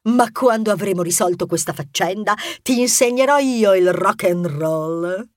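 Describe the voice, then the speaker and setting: A woman's voice: mid-range voice, moderate pace, darkish tone, dry recording, studio quality, natural voice. A woman playing a bold, bossy, teasing female rodent adventurer in a children's audio story, sassy and theatrical.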